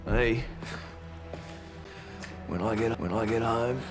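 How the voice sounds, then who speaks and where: A man's voice: fairly low pitch, slow. A man playing a plain-spoken, good-natured captive soldier in a game cinematic. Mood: gentle. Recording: music under the dialogue.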